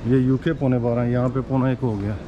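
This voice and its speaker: A man's voice: mid-range voice, little pitch movement, fast talker, dull audio. A man in his forties giving casual walking-tour commentary as a travel vlogger outdoors, mixing in English words. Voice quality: Urdu-Hindi speech mixed with English words. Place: outdoors on city streets and squares. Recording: outdoor street noise and ambience.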